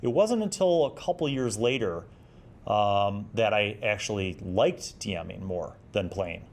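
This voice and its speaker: Man, forties, lowish voice, casual video host, conversational, explanatory, emphatic, reads passages aloud. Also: animated, unhurried. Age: 40s. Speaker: video host reviewing old gaming magazines.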